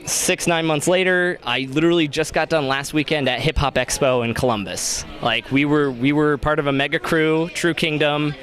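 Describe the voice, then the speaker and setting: A man's voice: a little high, fairly even intonation, normal speed, few pauses, a bit bright, faint music behind. A young adult man who works as a DJ, talking casually as an interview guest.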